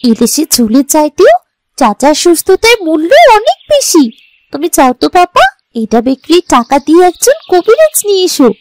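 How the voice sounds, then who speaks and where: A woman playing a kind, selfless little village girl in a moral cartoon, with a gentle, earnest manner. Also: very high voice, sing-song, moderate pace, no echo. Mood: caring, earnest. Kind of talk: Bengali moral cartoon voice acting. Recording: light music behind the voice.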